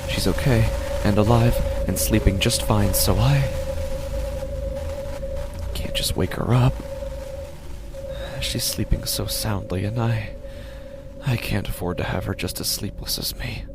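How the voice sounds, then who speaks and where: A man playing an anguished, sleepless, guilt-ridden father in a horror audio drama, halting and breathy, swinging between hushed and intense delivery. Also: lowish voice, over loud music. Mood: grave, guilty. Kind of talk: dramatic horror audio narration in first person.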